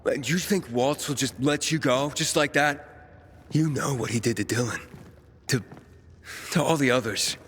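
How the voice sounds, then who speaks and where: A man's voice: medium pitch, normal speed, long pauses, a bit bright, a little hiss or hum, close to an everyday voice. An actor playing an earnest, principled young male survivor with an insistent, probing way of talking, in a video game cutscene. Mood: disbelieving, firm. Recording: music under the voice.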